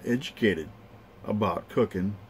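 A man's voice: on the low side, slight background noise. A man in his sixties talking casually in his camping vlog, folksy and chatty, chuckling as he goes. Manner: chatty, folksy, chuckles often, slow drawn-out phrasing. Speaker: outdoor cooking vlogger. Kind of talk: casual camp vlog narration. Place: inside a camper. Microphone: camera held close to the face.

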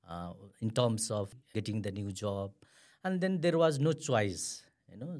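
A Nepali man with a Nepali accent, a non-native English speaker answering interview questions hesitantly with many fillers. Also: fairly low pitch. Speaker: guest, returned migrant worker.

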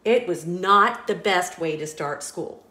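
A woman reading a children's picture book aloud in a playful, expressive storytelling voice, indoors. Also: on the low side, slow pace. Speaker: storybook reader. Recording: roomy echo.

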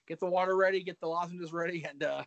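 A man's voice: high-pitched, steady tone, quick pace, vocal fry, fairly bright tone, no room sound, highs cut off. Chatty man hosting a video call. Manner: chatty, self-deprecating, lots of fillers and restarts. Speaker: podcast host. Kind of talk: casual chat. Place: home room with brick wall, indoors. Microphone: webcam video call.

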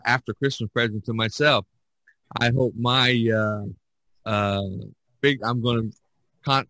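Man, podcast host, bright forceful tone, close dry sound, halting casual chat. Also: fairly low pitch, no room sound, poor audio. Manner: halting, with repeated false starts. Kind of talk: casual chat about art.

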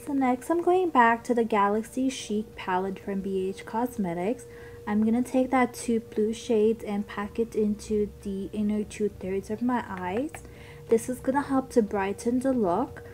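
Young woman doing a makeup tutorial voiceover, childlike voice, soft mellow tone, chatty with lots of ums. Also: a little high, unhurried. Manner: chatty, upbeat product talk with ums. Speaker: beauty vlogger.

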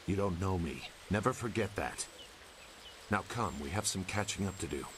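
A man's voice: low-pitched, animated, moderate pace. A man playing a reluctant, world-weary, guarded loner warrior in a video game, speaking tersely. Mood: guarded, resolved. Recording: clear noise behind game dialogue.

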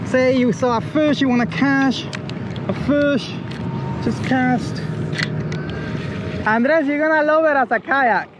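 A man's voice: very high voice, takes it slowly, long pauses. A man hosting an outdoor vlog, enthusiastically demonstrating a paddleboard kayak and calling out his speed as he paddles. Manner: enthusiastic, calls out speeds aloud. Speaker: vlogger reviewing a paddleboard kayak. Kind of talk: casual outdoor demo vlog. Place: outdoors on shallow coastal water. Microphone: action camera on board and shore. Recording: outdoor wind and water noise.

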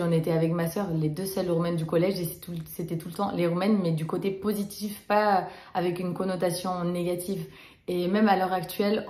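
A woman's voice: lowish voice, a little mellow, roomy sound. A young woman from Romania in her twenties, vlogging a casual storytime to the camera with many fillers and restarts. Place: small room indoors.

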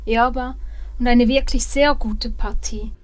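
A woman playing a composed, gently persuasive dwarven mother in a fantasy audio drama, speaking in a measured way. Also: a little high, steady tone, lightly acted. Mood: reassuring, persuasive.

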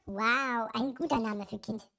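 A man voicing a cheeky, playful plush puppy in a squeaky, gravelly put-on voice, teasing and excitable. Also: a little slow, full character voice. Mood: excited. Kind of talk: toy puppet play during an unboxing video.

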